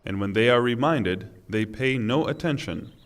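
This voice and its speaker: A man reading a scripture translation in a formal, solemn and deliberate way. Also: medium pitch, animated, big-room sound. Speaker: reader of Quran translation.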